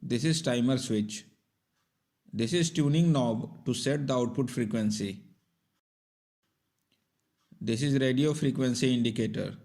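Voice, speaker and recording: A man's voice: mid-range voice, measured pace, creaky, pauses often, dark tone. A man narrating a scripted technical tutorial in a methodical, step-by-step instructional manner. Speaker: repair tutorial presenter.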